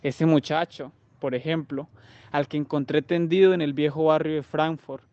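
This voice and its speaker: A man acts a wartime doctor in a radio play, his voice a little high and the sound slightly muffled. He lectures with formal, clinical assurance and a matter-of-fact manner. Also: fairly even intonation, normal speed, darkish tone, dry recording, lightly acted. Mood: matter-of-fact, assured. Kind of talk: literary radio drama monologue.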